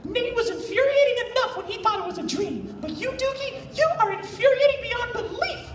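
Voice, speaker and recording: A woman's voice: extremely high pitch, animated, fairly quick. A woman voicing a small, irritable, menacing female cartoon creature in a live fan fiction reading. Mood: angry, threatening. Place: convention panel room. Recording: echoey panel room, distant, noisy.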